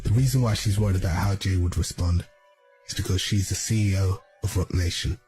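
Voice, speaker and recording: A man's voice: low-pitched, brisk, warm tone. A man narrating commentary in an explanatory way. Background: music.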